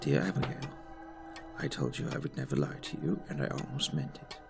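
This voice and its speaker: A man's voice: a bass voice, big pitch swings, fairly quick, vocal fry, over music, full character voice. A man playing a courteous, grave agency director in an audio drama, speaking formally and theatrically.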